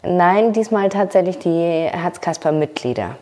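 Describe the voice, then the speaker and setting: A woman answering interview questions, pausing and searching for words. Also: fairly low pitch, animated, darkish tone.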